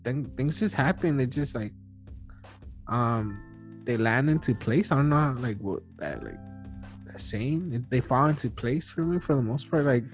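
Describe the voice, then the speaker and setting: A man's voice: medium pitch, very animated, normal speed, faint music behind. A man in his early thirties hosting a podcast, talking casually and rambling with lots of fillers and restarts. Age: 30s. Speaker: podcast host. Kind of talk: casual chat.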